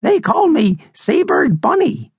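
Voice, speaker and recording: Crusty old female seabird, high and salty. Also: huge pitch swings, slow, husky, no echo, exaggerated voice. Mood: ominous warning. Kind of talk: storybook character reading.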